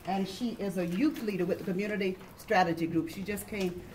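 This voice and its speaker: A woman's voice: mid-range voice, fairly even intonation, a little fast, darkish tone, poor audio. A woman moderating a public meeting, introducing and thanking panel speakers. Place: indoor community meeting room. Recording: faint background noise.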